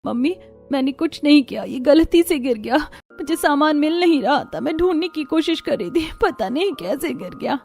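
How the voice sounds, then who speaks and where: A woman's voice: high-pitched, lively intonation, moderate pace, gravelly, a little mellow, faint music behind. A woman voicing a timid young bride who speaks meekly and anxiously. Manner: meek, hesitant.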